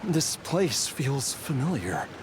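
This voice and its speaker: An actor playing an earnest, troubled young man in a video game, speaking hesitantly with breathy sighs. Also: mid-range voice, big pitch swings, slow pace, noisy background. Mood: uneasy, dazed. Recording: game music behind.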